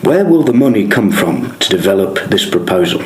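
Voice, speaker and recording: An English man working as a radio interviewer, putting prepared questions in a formal, measured way. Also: deep voice, very animated, slight background noise. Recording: echoey room.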